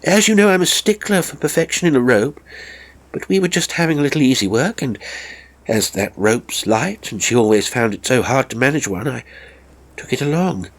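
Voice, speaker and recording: A man voicing a flustered, defensive gentleman climber in an audiobook, hurried and halting as he explains himself. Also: medium pitch, lively intonation, pitched above the performer's own voice, poor audio. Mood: anxious, defensive. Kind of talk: audiobook character acting.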